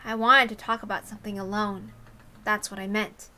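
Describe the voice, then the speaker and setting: A woman's voice: mid-range voice, flat delivery, moderate pace, sharp tone. A woman reading a story aloud expressively, with casual commentary of her own. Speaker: reader and commentator.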